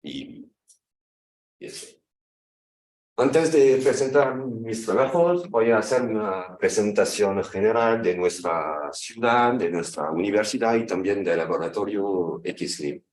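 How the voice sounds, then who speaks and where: A man in his thirties from France, speaking with a French accent as a guest lecturer, pausing and correcting himself often as he gives an academic talk in a language that is not his first. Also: on the low side, reverberant, far from the mic, rough recording. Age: 30s. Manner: halting, frequent self-corrections.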